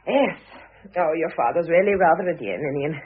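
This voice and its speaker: A woman playing a poised, wry middle-aged mother in a vintage British radio drama, composed and gently ironic. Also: low voice, animated, fairly quick, breathy edge. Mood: affectionate, brisk. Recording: tinny, phone-like old radio recording.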